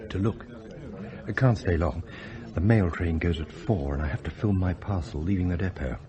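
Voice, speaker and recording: An actor playing a cocky, confident television investigator in a radio drama, brisk and conspiratorial. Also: male voice, deep voice, mellow, dull audio, natural voice. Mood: cocky, urgent.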